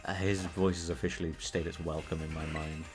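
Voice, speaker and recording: A British man streaming a game, chatting casually in a rambling, profane way that he calls monotone. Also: extremely low pitch, brisk, music in the background. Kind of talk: casual live-stream chat while gaming.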